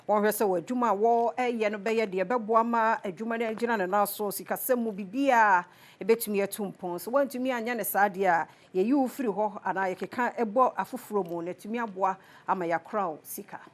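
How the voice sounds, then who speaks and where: A woman's voice: medium pitch, brisk. A woman with a Ghanaian accent presenting scripted television news.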